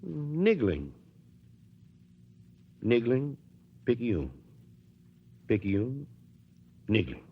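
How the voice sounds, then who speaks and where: A muffled old 1940s radio recording carries an easygoing small-town American mayor. He is folksy and drily stubborn, reasoning things out in a lively, swinging voice. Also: mid-range voice, drawn-out words, long pauses, husky, full character voice. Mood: sleepy, grumbling.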